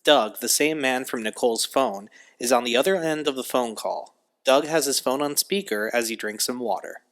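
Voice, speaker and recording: A man's voice: medium pitch, moderate pace, a bit bright. A man reading scripted scene narration for an audio drama, describing the action as narrator. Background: music.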